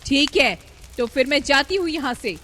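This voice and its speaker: A woman's voice: high-pitched, expressive, normal speed, in a large hall, voice pushed up. A woman voicing a defiant, scheming young daughter-in-law in a cartoon story. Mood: fierce. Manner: sharp, shouting.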